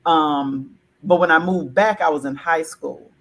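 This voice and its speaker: A woman in her forties from Alabama, hosting a casual discussion on a video call, animated and full of "like" fillers. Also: deep voice, unhurried, rough recording. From Alabama, American South.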